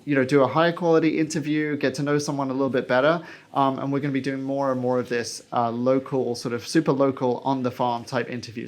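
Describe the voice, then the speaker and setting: A man hosting a podcast, interviewing a guest in a conversational, enthusiastic manner. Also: medium pitch. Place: small basement room on an indoor farm.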